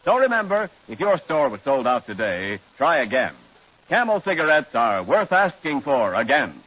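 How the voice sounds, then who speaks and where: A man's voice: medium pitch, big pitch swings, moderate pace, fairly bright tone. A man announcing a scripted 1940s radio advertisement in a polished, emphatic way. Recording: muffled 1940s radio broadcast.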